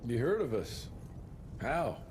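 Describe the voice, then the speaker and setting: Old West monster hunter, mid-pitched, gruff, terse, dry wit, game cutscene, loud background noise. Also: male voice, sing-song, takes it slowly. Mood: terse, guarded. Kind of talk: video game cutscene acting.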